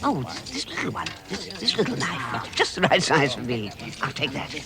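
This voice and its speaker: An actor playing a timid, polite little hobbit in a vintage radio drama, hesitant, stammering and apologetic. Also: male voice, on the high side, very animated, normal speed, crowd noise. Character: timid, polite, flustered small male hobbit.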